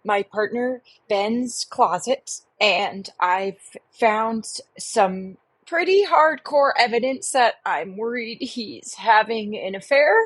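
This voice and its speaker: An anxious, prim woman caller, acted by a woman on a comic radio advice show. Her voice is soft and husky-breathy, and she talks very slowly with earnest, dramatic hesitation. The sound is close and dry. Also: a little high, lively intonation, no room sound, heavily acted. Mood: worried.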